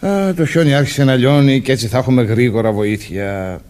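A man's voice: medium pitch, a little mellow, close to an everyday voice. An actor playing a reassuring undercover policeman posing as a major in a radio play, explaining things matter-of-factly. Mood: calm, explaining. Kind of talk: Greek radio play acting.

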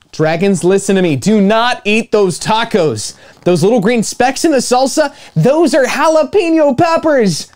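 A man in his twenties reading a picture book aloud to children as a storytime host, playful, with dramatic emphasis and pauses. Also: high-pitched, darkish tone. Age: 20s. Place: small indoor room with home studio gear.

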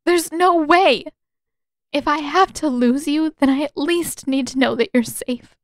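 A determined teenage girl, played by a woman narrator, pleads in a high, urgent, tearful voice. The storybook drama is earnest, and the recording is dry and close. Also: unhurried, no room sound. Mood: desperate, tearful.

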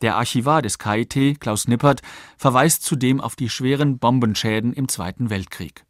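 Guy narrating a radio documentary, factual and measured, average pitch, dry close mic. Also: dry recording. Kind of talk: scripted radio feature narration. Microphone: close studio microphone.

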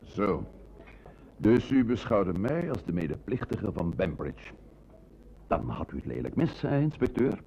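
An actor playing a sardonic, easygoing small-time crook and ex-convict in a Dutch radio play, with a gravelly voice. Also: male voice, on the low side, very animated, unhurried, dark tone, muffled recording, a little hiss or hum. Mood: wry, needling. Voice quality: gravelly, husky.

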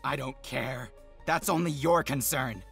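A man's voice: mid-range voice, unhurried, gravelly, fairly bright tone, soft background music. A man voicing an angry, relentless young wolf in a dramatic manga dub, confrontational and growling. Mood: angry, accusing.

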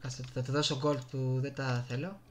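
A Greek-accented man commentates casually on his own gameplay. His mid-pitched voice is informal and reactive, full of slang and short exclamations. The desktop mic carries faint noise with keys and clicks behind him. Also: steady tone. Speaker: gamer. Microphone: desktop USB microphone. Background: keyboard and mouse clicking.

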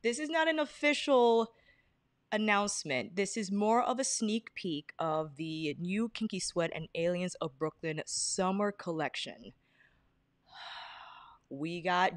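A woman's voice: medium pitch, no room sound, studio quality. Bubbly young woman livestreaming at home. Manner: bubbly, greets viewers by name with bursts of excitement. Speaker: beauty livestream host. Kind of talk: casual livestream chat. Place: apartment living room, fan humming. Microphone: desk podcast mic in front.

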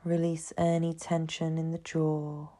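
A woman leading a guided meditation in a soothing, hushed manner, leaving long pauses between phrases. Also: sits low, completely flat delivery. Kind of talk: guided meditation, slow scripted narration.

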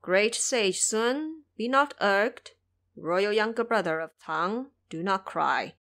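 A woman's voice: mid-range voice, expressive, takes it slowly, a bit bright. A solemn heavenly guardian voiced by a woman in an audio drama. The deity declaims formally and with stately authority, like an official announcing titles. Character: solemn heavenly guardian deities speaking from the air.

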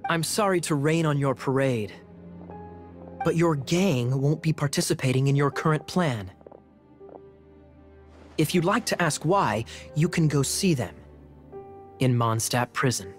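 An actor playing a composed, sly male knight captain in a video game, teasing with smooth, ironic politeness. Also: a little high, expressive, unhurried, long pauses. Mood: coolly threatening. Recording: music behind the voice.

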